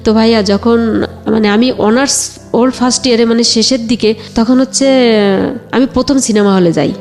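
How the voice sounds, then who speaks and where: A Bangladeshi woman telling her own life story conversationally, a little haltingly, quoting remembered exchanges. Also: fairly high pitch, a little mellow, faint music behind. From Bagerhat area, Bangladesh.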